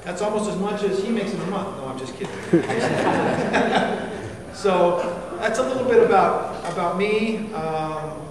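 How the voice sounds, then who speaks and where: A man's voice: sits high, expressive, a little slow, distant mic, crowd noise. A man in his forties from Chile, an army band musician giving an informal talk to cadets, chatty and quizzing the audience. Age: 40s. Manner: chatty, asks the audience questions. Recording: echoey hall, picked up from afar with background noise.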